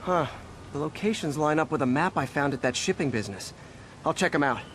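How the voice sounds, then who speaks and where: A man's voice: a little high, animated, fairly quick, fairly bright tone. An actor playing a wisecracking, earnest American superhero in his twenties in a video game, conversational and joking, with background noise. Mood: focused. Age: 20s. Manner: quippy, conversational. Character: wisecracking young superhero man, earnest, quick with jokes.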